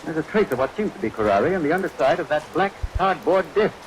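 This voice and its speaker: An actor playing a grave, precise professional man in a 1940s radio drama, speaking in a measured, formal, explanatory way. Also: a little high, animated, lightly acted. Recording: noisy vintage radio recording, rain over it.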